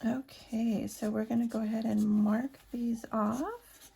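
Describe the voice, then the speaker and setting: A hoarse woman chatting casually to her viewers as a budgeting vlogger, counting cash aloud as she works. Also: on the high side, fairly even intonation, slow pace, warm tone. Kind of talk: casual chat while cash stuffing.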